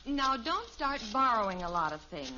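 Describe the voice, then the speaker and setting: An actress playing a patient, sensible mother who gently scolds, in a 1950s radio sitcom. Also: on the high side, very animated, normal speed. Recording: muffled, hissy old radio recording.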